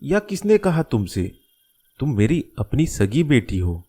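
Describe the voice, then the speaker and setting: A caring middle-aged dad played by a man in a cartoon moral tale. He has a medium-pitched voice and explains things gently, with steady reassurance. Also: unhurried, breathy edge. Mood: reassuring. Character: caring, reassuring middle-aged father advising his young daughter. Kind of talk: Hindi moral-story cartoon dialogue.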